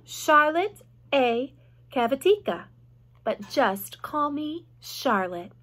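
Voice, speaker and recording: A genteel lady spider from a storybook, put on by a woman, speaks in a high, bright voice. She is kindly and sure of herself, with measured, gracious phrasing. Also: very animated, heavily acted. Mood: friendly, self-assured. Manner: measured, gracious, matter-of-fact. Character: kindly, self-assured, genteel female spider.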